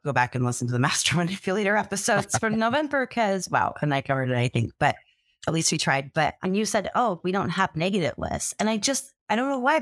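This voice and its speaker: A woman's voice: lowish voice, dry recording, studio quality. A woman hosting a podcast interview, telling her story with animation and acting out what other people said. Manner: animated storyteller, acts out quotes. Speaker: podcast host.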